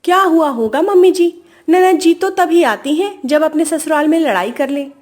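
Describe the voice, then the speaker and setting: Casting: female performer as taunting young sister-in-law, highish voice, sarcastic, resentful, domestic story drama. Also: normal speed, a little mellow, close to an everyday voice. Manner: pointed, sarcastic.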